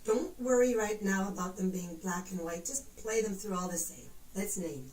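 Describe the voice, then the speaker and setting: A woman's voice: medium pitch, normal speed. A woman teaching a piano lesson, explaining notes in an encouraging, patient manner. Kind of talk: instructional music lesson, demonstrating and counting notes. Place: indoors at a piano, room echo. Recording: roomy, distant mic, faint piano.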